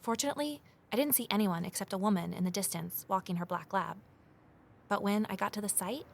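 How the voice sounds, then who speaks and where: A woman's voice: medium pitch, slight background noise, natural voice. An actress playing a determined, inquisitive investigator who tells her story in an earnest, confiding way. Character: Evelyn, determined, inquisitive young woman investigator and narrator. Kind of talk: first-person scripted drama narration and dialogue.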